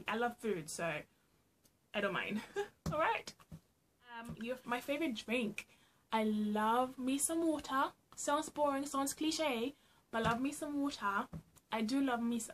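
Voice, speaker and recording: A woman's voice: on the high side, a bit bright. A young woman from Trinidad and Tobago with a Trinidadian accent, chatting playfully and laughing often as she answers get-to-know-me questions for her vlog in a small room at home. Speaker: vlogger.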